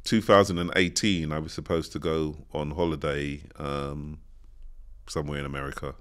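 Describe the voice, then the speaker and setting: British male podcast co-host, deep register, animated storyteller. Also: moderate pace, clean tone. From Britain. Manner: animated storytelling, acts out quoted lines. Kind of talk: casual chat. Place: studio.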